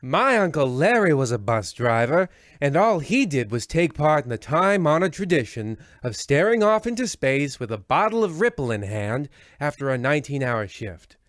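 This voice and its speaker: A man's voice: on the high side, very animated, moderate pace, hardly any pauses, a bit bright, dry recording. A man playing a florid, easily sidetracked narrator in a mock old-time radio horror comedy. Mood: bored, digressing. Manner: theatrical, florid, self-deprecating. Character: theatrical, digressing male horror-show narrator.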